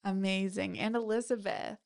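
A woman's voice: medium pitch, steady tone, normal speed, gravelly. A woman podcast host with a stuffy nose, reading a story aloud and chatting effusively with her guests. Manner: effusive, upbeat, laughs often. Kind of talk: reading a story aloud, then casual chat.

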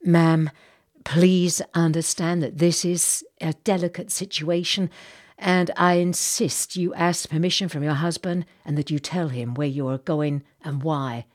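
A woman voicing an earnest, courteous gentleman captain, speaking in a grave, formal, measured way for an audiobook. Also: sits low, fairly even intonation. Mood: firm.

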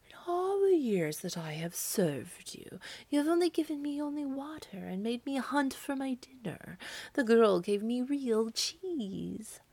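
A slight, defiant female cat from a storybook, acted by a woman. She speaks in measured phrases, with some creak in a close, dry sound. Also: fairly high pitch, expressive, a little mellow, dry recording, voice pushed up, heavily acted. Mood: aggrieved.

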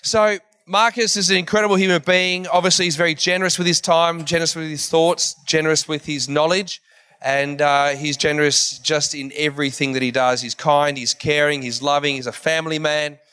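A man's voice: fairly high pitch, steady tone, a little fast, pushed and bright, clear, resonant tone. A man hosting an event, introducing a speaker in a loose, rambling way. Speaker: event host.

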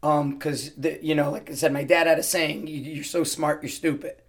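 A man hosting a podcast and answering questions as a rifle instructor, hoarse from losing his voice, talking in quick bursts. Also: fairly high pitch, level tone. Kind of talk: casual interview answers.

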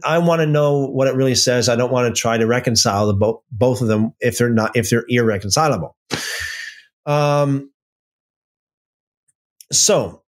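A man hosting a livestream Bible study, reading out viewer comments and answering them with many restarts and fillers. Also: mid-range voice. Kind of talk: casual livestream Bible talk.

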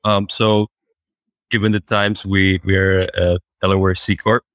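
A man being interviewed as a startup founder, answering in a halting way with fillers and restarts. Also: sits low, little pitch movement, a bit bright, dry recording. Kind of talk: remote interview. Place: Fort Worth, Texas.

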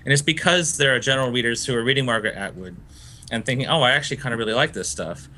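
A man's voice: medium pitch, rapid-fire, pushed and bright. A man from Florida, a novelist being interviewed, talking in a thoughtful, discursive way and laughing easily. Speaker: novelist guest. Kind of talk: interview conversation.

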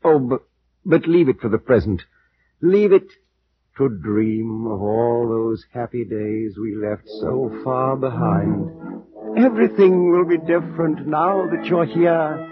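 An actor playing an ailing, nervous gentleman who swings between frenzy and gloom in 1940s radio style. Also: medium pitch, takes it slowly, faint music behind, lightly acted. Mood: wistful. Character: ailing, haunted, nervous gentleman, feverishly excitable. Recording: muffled, noisy old radio recording.

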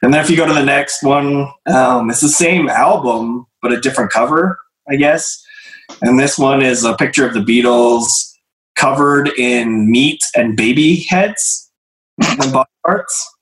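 Guy going through slides, voice going hoarse, lots of ums, roomy echo. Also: mid-range voice, a little slow, fairly bright tone. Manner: hesitant, many fillers. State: losing his voice, clearing throat. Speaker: presenter. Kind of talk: casual group discussion.

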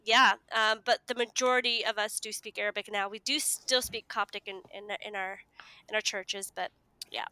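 A woman's voice: mid-range voice, steady tone, normal speed, pushed and bright, smooth, dry recording, rough recording. A woman from the United States, speaking as a podcast guest and explaining things in a casual, conversational way.

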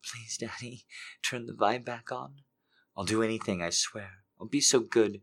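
A man voicing a needy, submissive adult woman who pleads in a soft, breathy half-whisper. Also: mid-range voice, steady tone, a little fast, pitched above the performer's own voice, close to an everyday voice. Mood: pleading.

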